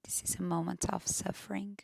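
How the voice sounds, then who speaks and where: Female meditation guide: lowish, very gravelly fry, gentle and hushed delivery, recorded close and dry. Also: level tone, brisk, no echo.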